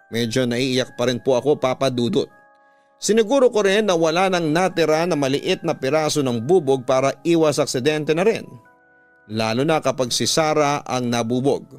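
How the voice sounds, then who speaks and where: Filipino man narrating a story over music. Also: medium pitch. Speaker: radio drama host narrating listener letters. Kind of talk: dramatic first-person scripted story narration. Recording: music bed behind the voice. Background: background music.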